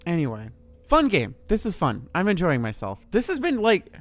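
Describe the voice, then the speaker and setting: A man's voice: high voice, huge pitch swings, moderate pace. A man in his thirties streaming a horror game, chatting in a rambling, laid-back way with a lot of swearing. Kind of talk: casual gameplay commentary.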